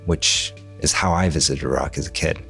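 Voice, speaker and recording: A man's voice: extremely low pitch, normal speed, creaky, a bit bright, soft background music. A young man born in Jordan who grew up speaking Arabic, a Spanish teacher answering interview questions thoughtfully and restarting his words often.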